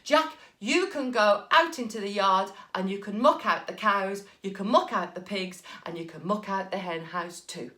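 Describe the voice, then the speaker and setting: A woman's voice: medium pitch, normal speed, hardly any pauses, pushed and bright, echoey room, lightly acted. A woman voicing a friendly, appreciative male farmer, hearty and plain-spoken, in a folk tale. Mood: pleased.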